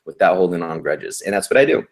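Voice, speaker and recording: A man's voice: low voice, expressive, fast. A male nurse being interviewed, talking in an upbeat, slangy and encouraging way. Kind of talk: casual interview chat.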